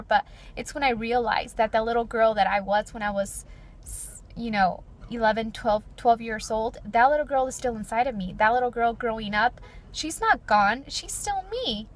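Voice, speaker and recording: A woman in her twenties, talking earnestly and chattily to her viewers, with a fairly deep voice. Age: 20s. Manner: earnest, chatty, frequent "like" and "you know". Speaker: beauty vlogger. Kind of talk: personal reflective chat to camera.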